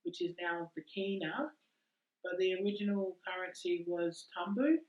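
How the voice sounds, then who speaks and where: Woman from Papua New Guinea, halting delivery, recorded from a distance in a roomy space with a dull, muffled tone. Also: lowish voice, lively intonation. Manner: halting, searching for words. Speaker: storyteller in a community film. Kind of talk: slow personal recollection.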